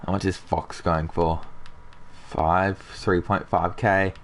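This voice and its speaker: A man's voice: low-pitched, little pitch movement, takes it slowly, slight background noise. A young man with a slightly sick, nasal voice, chatting casually over gameplay as a channel host and talking to viewers. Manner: chatty, talks to viewers and chat.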